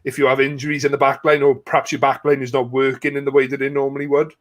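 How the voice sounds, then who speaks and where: A man's voice: medium pitch, little pitch movement, fast. A man hosting a live sports watch-along, chatting casually and matily with a guest and putting questions to him. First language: not French. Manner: chatty, matey address, asks questions.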